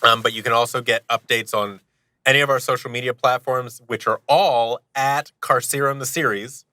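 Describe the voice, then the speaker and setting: A man's voice: medium pitch, steady tone, moderate pace, sharp tone, no room sound. A man talking casually as a podcast guest, joking with a straight face. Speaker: guest, audio series creator. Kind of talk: casual podcast interview chat.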